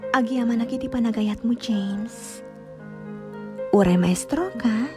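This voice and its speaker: An actress with a Filipino accent playing a meek, patient young wife in an Ilocano radio drama, speaking hesitantly and submissively. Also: female voice, on the high side, measured pace, over music, lightly acted. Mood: sad, patient.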